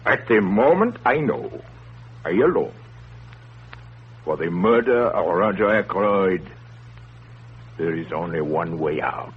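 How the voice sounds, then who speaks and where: Tinny 1930s radio pompous theatrical detective. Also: male voice, low-pitched, expressive, slightly rough, full character voice. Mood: grave warning. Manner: pompous, deliberate, dramatic pauses and formal phrasing. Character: theatrical male detective, courteous, commanding, self-important. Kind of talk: 1930s radio drama acting. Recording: tinny, noisy, humming 1930s radio broadcast.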